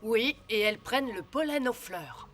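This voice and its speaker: A woman's voice: a little high, lively intonation, normal speed, a bit bright. A woman voicing a young male lion cub in a cartoon, earnest, fair-minded and reasoning. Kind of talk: French cartoon dubbing. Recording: music behind the voice.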